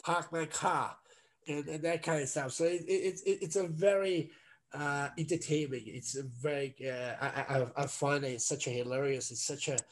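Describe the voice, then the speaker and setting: A middle-aged man with a Croatian accent chats casually as an interview guest, halting and repeating words as he searches for phrasing. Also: a little high. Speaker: interview guest, actor and former athlete. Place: indoor room, on a video call. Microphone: video call at a computer.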